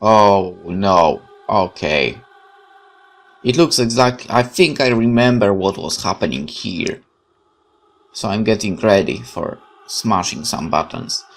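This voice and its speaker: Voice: deep voice, lively intonation, takes it slowly. A gamer doing let's play commentary, reading game text aloud expressively and questioning it. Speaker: gaming YouTuber.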